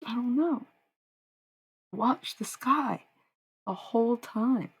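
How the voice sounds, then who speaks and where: A woman's voice: on the high side, slow, slightly rough. A young woman livestreaming, chatting with viewers in a rambling, dreamy way and swearing freely. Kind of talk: casual livestream chat with viewers.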